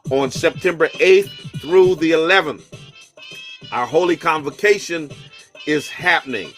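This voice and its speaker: A man's voice: on the high side, a bit bright, faint music behind. A man hosting a casual radio talk show, joking with his co-host and reading church announcements in a loose, playful way. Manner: loose, joking, drawn-out sign-offs.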